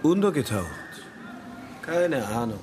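Pompous crook, swooping pitch. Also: male voice, sing-song, slow pace, noisy background. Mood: evasive, haughty. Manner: pompous, mock-polite. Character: haughty, slippery businessman crook with a bodyguard. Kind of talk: radio drama acting.

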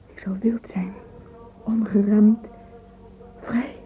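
A woman's voice: medium pitch, flat delivery, slow, lightly acted. An actress playing a dreamy, romantic young woman in a radio play monologue, whispering intimately and fervently through a narrow, phone-like recording. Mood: fervent, yearning. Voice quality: breathy, whispery. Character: dreamy romantic young woman lost in yearning love fantasies.

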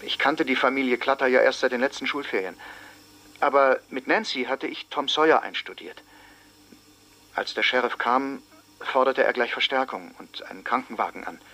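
An actor playing a sober small-town schoolteacher in a radio drama, giving grave, deliberate witness testimony with heavy pauses. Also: male voice, mid-range voice, sharp tone. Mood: urgent, tense.

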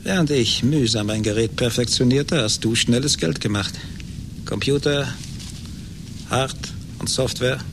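An actor in a German radio play playing a gloating, vengeful rich man, condescending and deliberate. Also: natural voice. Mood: smug, gloating. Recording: noisy 1980s radio recording with rumble.

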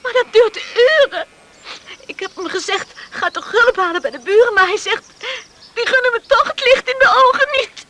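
An anxious farm girl in a radio drama. Her voice is very high and distressed, heard through a noisy old recording. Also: normal speed, pushed and bright. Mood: alarmed. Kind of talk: Dutch radio drama acting.

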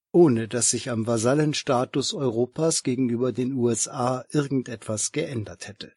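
Male reader for scripted news commentary, rough husky voice, dry close sound. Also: medium pitch, a little mellow, no room sound. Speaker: article reader. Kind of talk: read-aloud news commentary.

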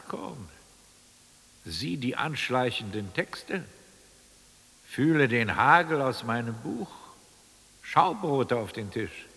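A man playing a stern, uncanny divine lawgiver in a radio drama, commanding in slow, incantatory exclamations that swing from booming to hushed, with a gravelly, forceful voice in a cavernous reverb. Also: heavily acted.

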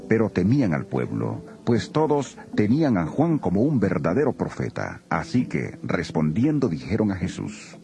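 A man narrating scripture from a script in a solemn, expressive way. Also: sits low, moderate pace, hardly any pauses, soft background music.

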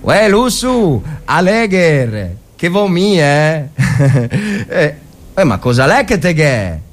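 A man's voice: a little high, sing-song, slow pace. A jaunty infantryman from the trenches, acted out by a man reading a war story. He speaks in northern Italian dialect, stretching his words with brave cheer. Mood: forced cheer.

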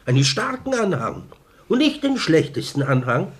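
A man's voice: fairly high pitch, sing-song, moderate pace, slight background noise. A man playing an earnest, stubborn party comrade in a German radio play, speechifying in an officious, repetitive way. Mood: insistent.

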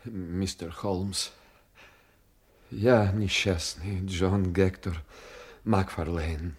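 An actor playing a wretched, desperate gentleman in an audio drama, halting and mournful. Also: low-pitched, steady tone, breathy edge, heavily acted. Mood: despairing. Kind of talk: theatrical Russian audio drama dialogue.

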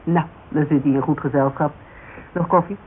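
An actor playing a shrewd, dryly ironic private investigator in a radio drama, casual and teasing, with speech. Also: male voice, on the high side, steady tone, normal speed, lightly acted. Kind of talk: Dutch radio drama dialogue. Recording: dull, hissy old radio recording.

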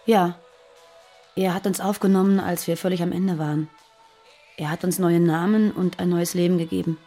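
A woman's voice: fairly low pitch, fairly even intonation, normal speed, warm tone, soft background music, natural voice. An actress playing a guarded, earnest woman on the run in a naturalistic radio play. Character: guarded, frightened fugitive terrorist woman living under false names. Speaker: radio drama actor.

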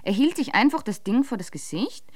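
An actress playing a composed, sharp-witted woman novelist in a German radio drama, matter-of-fact and dryly ironic. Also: fairly high pitch, lively intonation, fairly quick, no echo, clean studio sound. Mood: explaining. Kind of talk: German radio drama acting, often reading aloud.

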